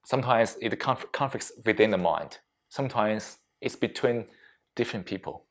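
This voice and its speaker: A man leading a reflective mindfulness talk as a meditation teacher, speaking deliberately in short phrases with frequent pauses and non-native English phrasing. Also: lowish voice. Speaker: meditation teacher giving a workplace session.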